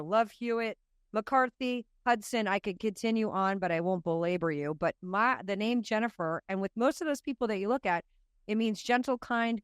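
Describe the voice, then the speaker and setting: An enthusiastic woman co-hosting a podcast, chatting casually and reeling off lists. Her voice is smooth and clear. It comes across dry and close to the mic. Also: fairly low pitch, no room sound. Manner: enthusiastic, lists things off in quick runs. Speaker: podcast co-host. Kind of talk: casual chat.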